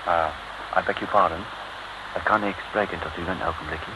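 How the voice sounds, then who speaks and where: An actor playing a polite, secretive British police inspector with an English accent, mixing English words in, in old radio serial style. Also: male voice, low-pitched, steady tone, moderate pace, natural voice. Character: courteous British male police inspector working undercover. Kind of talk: 1950s-60s radio serial acting. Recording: noisy old radio recording.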